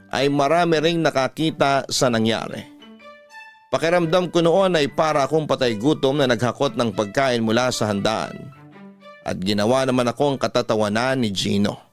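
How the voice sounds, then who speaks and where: A man with a Filipino accent narrating a scripted first-person story as a radio drama host. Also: medium pitch.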